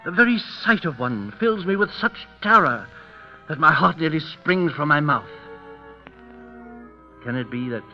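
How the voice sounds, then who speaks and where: An actor playing a proud warrior emperor of Spain with a secret terror, in a theatrical, impassioned old radio drama style. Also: male voice, fairly high pitch, animated, a little slow, pauses often, faint music behind. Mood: anguished, ashamed. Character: brave emperor of Spain secretly terrified of mice. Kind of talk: 1930s-40s radio drama acting. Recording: dull, lo-fi old radio broadcast recording.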